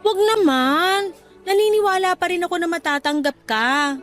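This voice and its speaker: A woman acting as an affectionate, encouraging young girlfriend in a radio drama, speaking in a coaxing, animated way. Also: very high voice, moderate pace, soft background music. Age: young adult. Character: supportive, upbeat young girlfriend cheering on her partner. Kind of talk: Tagalog radio drama dialogue.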